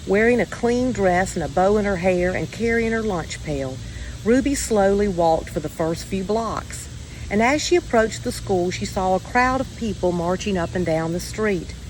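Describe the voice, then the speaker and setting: A woman reading a children's story aloud in a measured, expressive way with deliberate pauses. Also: lowish voice, a little hiss or hum, poor audio. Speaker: storybook reader.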